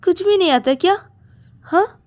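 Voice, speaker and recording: A woman's voice: a little high, moderate pace, lightly acted. A woman voicing a cruel, impatient husband who scolds and snaps scornful questions in an audio drama. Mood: angry scorn. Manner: scolding, snapping rhetorical questions.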